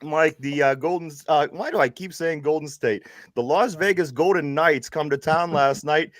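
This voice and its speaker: A man's voice: on the high side, normal speed, no room sound, clean studio sound. A man with a Pittsburgh accent hosting a casual sports podcast, rambling with restarts. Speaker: podcast host.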